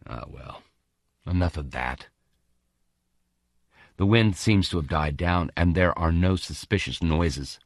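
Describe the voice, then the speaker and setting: A man's voice: deep voice, slow, pauses often, dry recording, clean studio sound. A man reading first-person fiction as an audiobook narrator, in a gritty, colloquial and dramatic storytelling manner.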